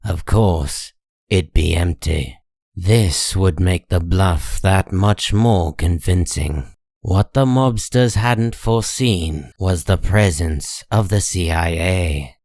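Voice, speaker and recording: A man narrating a scripted comic story in a measured, deliberate dramatic style. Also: sits low, vocal fry, darkish tone, no echo, studio quality.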